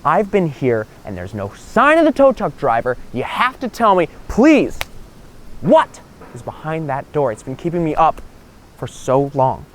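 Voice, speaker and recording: A man's voice: a little high, very animated, normal speed, slight background noise. A man voicing a stranded, increasingly desperate male traveller with a pleading manner in a spoken joke story. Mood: desperate, exasperated. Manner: hesitant, pleading. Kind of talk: acted voice in an oral joke story.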